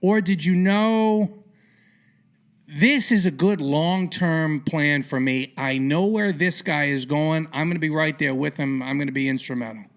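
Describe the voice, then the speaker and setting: A man hosting a casual podcast interview in a colloquial, theatrical way with punchy, emphatic phrasing and a gravelly voice. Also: on the high side, unhurried. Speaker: podcast host.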